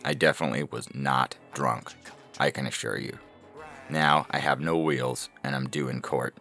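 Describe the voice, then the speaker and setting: An extremely deep, creaky male voice from an actor as a self-pitying slacker in an audio story. He grumbles in folksy, blame-shifting fashion, with a forceful edge and faint music underneath. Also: steady tone, moderate pace, exaggerated voice. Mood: aggrieved, self-justifying. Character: self-pitying, blame-shifting slacker man posting his grievances online. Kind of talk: scripted audio fiction reading.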